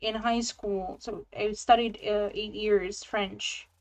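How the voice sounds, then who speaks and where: A woman's voice: mid-range voice, little pitch movement. A woman whose first language is Romanian, chatting casually and hesitantly as a guest on a live stream over a remote call. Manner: slow, hesitant, with frequent fillers. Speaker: guest artist. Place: at home, living in Germany. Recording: dull lo-fi sound with faint music.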